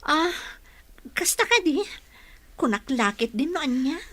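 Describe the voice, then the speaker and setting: A woman's voice: sits high, lively intonation, measured pace, sharp tone, exaggerated voice. A woman acting in a radio drama as a friendly, earnest young nurse and therapist. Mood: surprised, modest.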